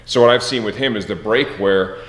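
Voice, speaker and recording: A man's voice: fairly low pitch, fairly quick, fairly bright tone. A middle-aged man, upbeat and joking, chatting casually with his son in a large garage workshop and asking him leading questions. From Michigan, USA. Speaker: host, team owner and father. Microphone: far camera, no mic seen. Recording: echoey, roomy garage sound.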